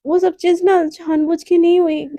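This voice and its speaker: A woman's voice: very high voice, little pitch movement, moderate pace, no room sound. A woman voicing a timid young woman who speaks hesitantly and apologetically. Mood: apologetic. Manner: hesitant, soft, stammering.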